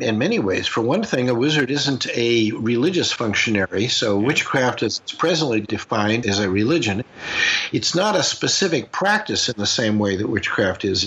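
Older man, husky, reflective and rambling. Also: medium pitch, fairly bright tone, muffled recording. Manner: rambling, reflective, with frequent restarts. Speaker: guest. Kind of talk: conversational interview answers.

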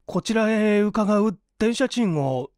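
A man's voice: high-pitched, lively intonation, slow, a little mellow, no echo, studio quality, voice pushed up. A man playing an earnest, penniless young man in a story reading, humble and apologetic, pleading with effort. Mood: nervous pleading.

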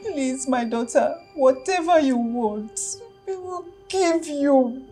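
A woman's voice: sits high, animated, measured pace, faint music behind. A distraught, sobbing mother. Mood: pleading. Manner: shouting, sobbing, pleading. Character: distraught mother, grief-stricken and pleading.

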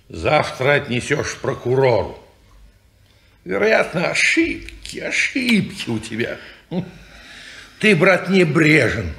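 A man's voice: mid-range voice, gravelly, pushed and bright, full character voice. An actor playing a fussy, lecturing old man who owns the house, in a 1950s radio play. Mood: scolding. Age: older.

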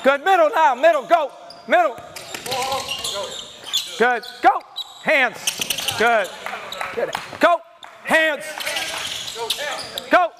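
A male basketball coach calling out loud, terse, commanding drill instructions to his team at practice. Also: extremely high pitch, lively intonation, drawn-out words, long pauses. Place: large indoor gymnasium, practice before onlookers. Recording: noisy gym with bouncing balls. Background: bouncing basketballs and gym noise.

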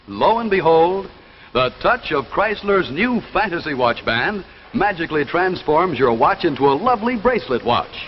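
An American man in his thirties delivering a scripted 1950s television commercial. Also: a little high. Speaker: commercial pitchman. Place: television studio display counter. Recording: dull, noisy 1950s TV soundtrack.

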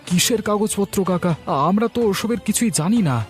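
A man's voice: high voice, animated, moderate pace, soft background music. A man voicing a meek, honest villager in a cartoon, polite and pleading. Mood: troubled, pleading. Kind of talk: cartoon dialogue acting.

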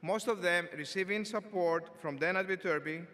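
A man's voice: sits high, sharp tone. Older man addressing a cavernous arena. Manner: measured, deliberate delivery with occasional filler words. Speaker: engineering school dean. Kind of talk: formal commencement address. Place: large arena stage at a podium. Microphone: podium microphone. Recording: long reverb of a large arena.

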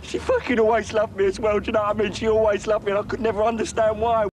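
A man's voice: high-pitched, level tone, quick pace, slight background noise, close to an everyday voice. An actor playing a volatile young man, swearing and defensive, who breaks down confessing what he did to his mother. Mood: anguished. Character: guilt-ridden young British man, volatile temper. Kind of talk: film drama acting.

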